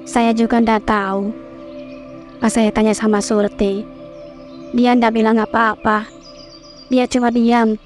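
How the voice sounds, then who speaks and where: A woman voicing an older village maid in a horror cartoon, telling things slowly and plainly. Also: fairly high pitch, little pitch movement, soft background music. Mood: guarded. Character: older village woman servant, calm and guarded.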